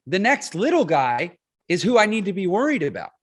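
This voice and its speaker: A man's voice: sits high, sing-song, a little fast. A man in his forties, a podcast guest, explaining his points emphatically and often checking in with "right?". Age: 40s. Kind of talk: casual interview talk.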